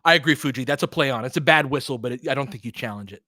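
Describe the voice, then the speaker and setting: A man's voice: medium pitch, very fast, pushed and bright, dry recording, clean studio sound. A man hosting a live basketball stream, giving casual, analytical commentary and chatting with viewers in between short reactions to the play.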